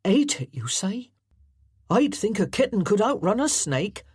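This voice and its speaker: Lad, blunt and laconic, put on by a woman, loud and plain. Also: medium pitch, very animated, unhurried, breathy edge, dry recording, clean studio sound, voice pushed up, heavily acted. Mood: easygoing. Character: plain-spoken, easygoing country lad.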